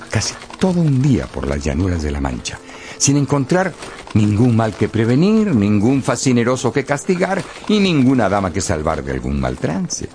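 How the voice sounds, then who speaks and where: A man narrating a radio story expressively and theatrically, with dramatic pauses and emphasis. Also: mid-range voice, a little mellow.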